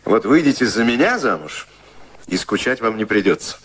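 An actor playing a suave, self-assured rake who teases and woos in a radio drama. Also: male voice, on the low side, very animated, normal speed, a bit bright. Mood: teasing, confident. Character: teasing, self-assured charming rake wooing a woman.

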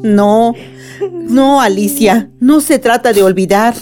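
A woman playing a wise older grandmother in a radionovela, earnest and deliberate as she consoles and persuades. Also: on the high side, over music. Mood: earnest pleading. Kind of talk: radionovela acting.

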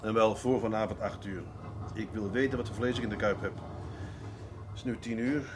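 A middle-aged actor playing a shrewd, stubborn senior police detective in a radio drama, speaking drily and deliberately with gentle sarcasm. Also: male voice, lowish voice, steady tone, noisy background, close to an everyday voice. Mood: pensive, firm. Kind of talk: Dutch radio drama acting.

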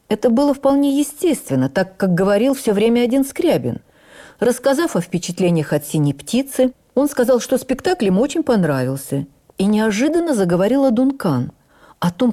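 An actress playing a stage actress who recalls her youth with admiring wonder, in measured, literary, expressive radio narration. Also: medium pitch. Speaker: actress reading a memoir on radio. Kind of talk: dramatic first-person memoir narration for radio.

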